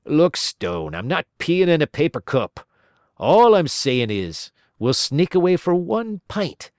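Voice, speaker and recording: A man's voice: on the high side, very animated, slow pace, no echo, clean studio sound, voice pushed up, heavily acted. A man voicing a shifty, lazy police officer, wheedling and mocking. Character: shifty lazy male cop, cajoling.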